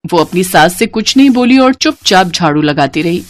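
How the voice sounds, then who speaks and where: A woman narrating a scripted moral bedtime story in an expressive storytelling manner. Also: on the low side, normal speed.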